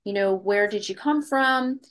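A woman's voice: medium pitch, unhurried. A woman hosting a talk radio show, reading a guest introduction and asking questions in an upbeat, emphatic manner. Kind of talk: scripted radio introduction and interview.

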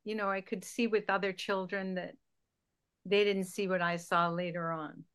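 Gentle Scottish woman telling her story. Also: medium pitch, flat delivery, moderate pace, smooth. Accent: Scottish, Glasgow area. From Scotland. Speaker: radio show guest, spiritual healer. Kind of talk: interview storytelling.

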